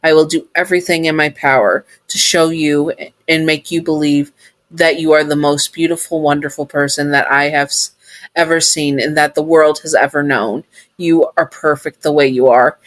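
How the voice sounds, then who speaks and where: A storyteller voicing a gentle, protective male robot caretaker who speaks softly and coaxingly. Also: mid-range voice, animated, normal speed, hardly any pauses, no echo, voice pushed up, natural voice. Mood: soothing. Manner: soft, soothing, coaxing. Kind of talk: fan story reading.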